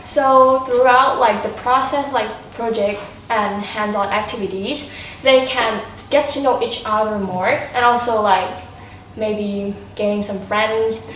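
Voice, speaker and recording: Halting teenage girl in echoey room. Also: on the high side, fairly even intonation, a bit bright. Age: teenager, high school student. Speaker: student panelist. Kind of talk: casual discussion of school life. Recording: echoey room, distant mic, clear noise.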